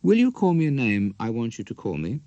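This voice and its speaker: A man's voice: medium pitch, wildly swooping pitch, fairly quick, warm tone, no room sound, highs cut off. A man voicing a playful, tender young bride with a childlike, teasing manner in an audiobook. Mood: coy.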